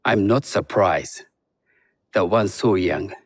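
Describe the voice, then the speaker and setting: An actor playing a shrewd elderly master in a video game, speaking in a deliberate, unhurried way. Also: male voice, sits low. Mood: shrewd, appraising. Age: older. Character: frail-sighted old master, shrewd, slow and unhurried. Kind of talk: video game cutscene acting.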